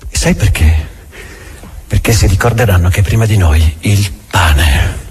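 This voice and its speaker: An actor playing a bitter, domineering old Grand Inquisitor in a theatrical radio drama, halting and repeating his words. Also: fairly low pitch, a little mellow, slightly rough. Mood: agitated defiance. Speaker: stage actor.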